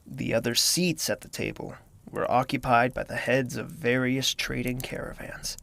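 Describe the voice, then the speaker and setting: A man voicing a brooding, lonely young royal, narrating his thoughts in first person in an audio drama, quiet and sometimes whispering. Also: medium pitch, flat delivery, moderate pace, creaky. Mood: wistful.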